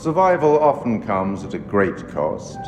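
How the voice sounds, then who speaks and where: Slow, sardonic mysterious male curator. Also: lowish voice, sing-song. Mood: measured, solemn. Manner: measured, formal, wryly sardonic. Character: enigmatic, sardonic male curator judging the player's fatal choices. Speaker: narrator addressing the player. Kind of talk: theatrical scripted game narration. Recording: long hall reverb, music underneath. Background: music.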